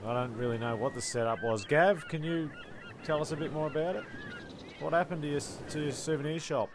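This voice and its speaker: A man's voice: on the high side, a little fast, noisy background, close to an everyday voice. A man playing a dry, exasperated storyteller in a castaway comedy radio play, with a rambling delivery. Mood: casual, exasperated. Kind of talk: comic radio play narration and dialogue.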